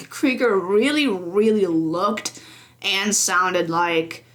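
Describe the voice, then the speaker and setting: A young woman talking as a games journalist guest in a casual podcast interview, chatty and animated, laughing often and acting out her own thoughts. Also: mid-range voice, drawn-out words.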